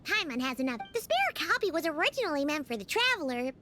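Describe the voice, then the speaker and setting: A woman voicing a perky, childlike fairy companion in an anime game, speaking with a squeaky voice. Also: sing-song, fast talker, bright, forceful tone, faint music behind, heavily acted. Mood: fond, earnest. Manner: speaks of herself in third person, perky. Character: small chirpy fairy girl companion and guide.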